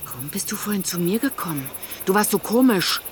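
A woman's voice: medium pitch, lively intonation, a little fast, slight background noise, lightly acted. A woman playing a distraught, insistent mother searching for her son, urgent and pressing in an audio drama. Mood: desperate.

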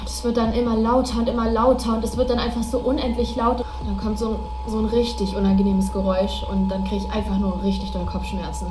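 Casting: woman playing chatty young female content creator, quick colloquial delivery, confiding, audio drama, roomy echoing sound. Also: mid-range voice, natural voice. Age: young adult. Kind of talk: scripted social video monologue in audio drama. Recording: echoey, faint noise and clicks.

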